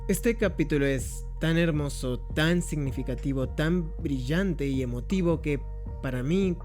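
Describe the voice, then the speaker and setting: A man's voice: a little high, measured pace, a little mellow. A man with an Argentine accent reading a scripted analysis in an earnest, heartfelt, reflective way, with faint music behind him. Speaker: video essayist.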